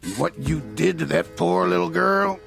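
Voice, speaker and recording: Gravelly, taunting Old West peddler. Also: male voice, a little high, moderate pace. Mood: gleefully taunting. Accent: American. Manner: folksy, goading, drawling. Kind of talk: radio drama acting. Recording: music underneath.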